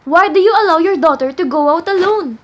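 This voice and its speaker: A woman's voice: very high voice, a little fast. A woman playing an indignant, haughty gossiping mother who fusses over her son in an amateur radio play. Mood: scolding. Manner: scolding, haughty.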